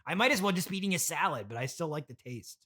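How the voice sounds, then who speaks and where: A man's voice: a little high, lively intonation, fast, bright, forceful tone. A man playing a spoiled, rebellious son of a trillionaire in an improvised comedy interview, dismissive, sarcastic and demanding. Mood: scornful. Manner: dismissive and demanding, scoffing and teasing.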